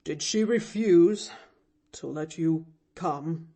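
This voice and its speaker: A woman reading an audiobook, voicing a lonely older man who speaks gently, low and heavy with emotion. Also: takes it slowly, exaggerated voice. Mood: gentle. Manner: gentle, low, deep with emotion, sometimes near a whisper.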